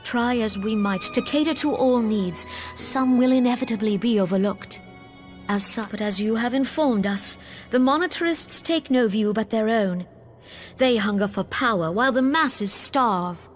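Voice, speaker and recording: An actress playing a solemn, wise female leader in a fantasy game, speaking in a measured, stately way. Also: fairly high pitch, faint music behind, natural voice. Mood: concerned, grave. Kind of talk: formal fantasy game voice acting.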